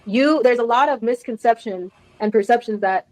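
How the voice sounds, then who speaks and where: A woman's voice: on the high side, normal speed, darkish tone, no room sound, rough recording. Chatty woman with a dry, close voice. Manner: chatty, laughs often, acts out dialogue. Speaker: guest telling a story. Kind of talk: casual storytelling on a live stream.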